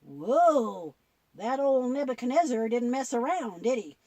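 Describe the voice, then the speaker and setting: A woman's voice: a little high, big pitch swings, a little slow, darkish tone, no echo. A woman voicing a goofy, curious little boy puppet in a children's puppet show, wide-eyed and full of questions and exclamations. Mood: amazed.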